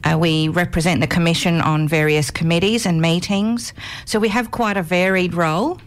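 A woman with a slightly low voice answers questions in a radio studio. She speaks earnestly and at a measured pace, often pausing on an um. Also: steady tone. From Australia. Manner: earnest, measured, frequent ums. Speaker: guest, commission chair. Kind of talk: radio interview.

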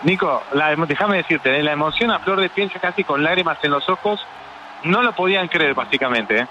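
A man's voice: a little high, brisk, dull audio, noisy background. A man reporting live for radio from a community center, talking fluently and descriptively and staying polite with the people he interviews. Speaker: field reporter. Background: music playing in the background.

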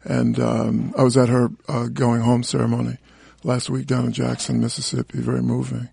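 Radio panel guest, very gravelly husky guy, dark mellow voice. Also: mid-range voice, completely flat delivery, normal speed, dry recording. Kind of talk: casual radio panel chat.

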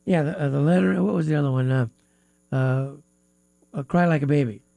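A man's voice: fairly high pitch, brisk, gravelly, warm tone, dry recording. A man hosting a live radio music talk show from a studio, with a stuffy nose and a rambling, filler-heavy, chatty way of talking.

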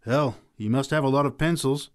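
A man's voice: medium pitch, moderate pace, clear, resonant tone, pitched above the performer's own voice, natural voice. A man voicing an irreverent, argumentative drunk man in a storybook, heckling flippantly. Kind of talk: storybook character voice.

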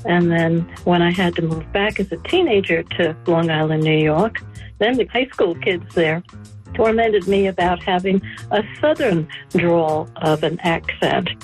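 A woman's voice: fairly low pitch, unhurried, soft background music, low-quality recording. A woman listener telling a personal story in a recorded message.

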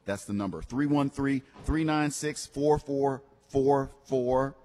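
A man's voice: mid-range voice, slow, darkish tone. A man hosting live promotional radio for a car dealership in a conversational, encouraging sales manner. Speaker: radio host. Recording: long hall-like reverb.

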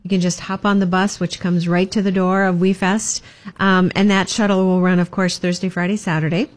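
A woman's voice: fairly low pitch, level tone, fairly quick. A woman from the chamber of commerce giving upbeat community announcements, with plenty of ums. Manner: upbeat, filler-heavy, promotional. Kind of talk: community announcements in casual chat.

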